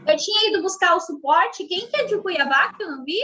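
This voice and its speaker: A woman co-hosting an online chat, lively and teasing as she sets up her phone. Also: very high voice, fairly quick. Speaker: co-host. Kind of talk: casual chat. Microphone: phone on a live stream.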